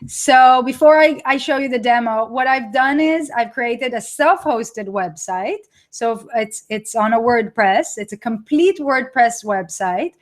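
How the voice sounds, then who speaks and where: A woman's voice: fairly high pitch, unhurried, few pauses. A woman with an Israeli accent hosting a live webinar, chatting with viewers as she presents her product, with frequent restarts. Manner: chatty, reads live chat and reacts to viewers.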